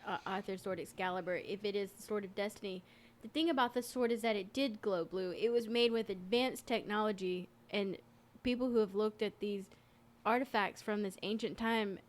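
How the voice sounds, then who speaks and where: A woman's voice: medium pitch, moderate pace. Female podcast co-host, mid-range voice, casual and earnest explainer.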